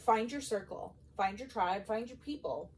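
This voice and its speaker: A woman's voice: mid-range voice, moderate pace. A woman co-hosting a podcast, giving advice in a casual, emphatic, bantering way. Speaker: podcast co-host. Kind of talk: casual conversational advice talk. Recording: clear steady background noise.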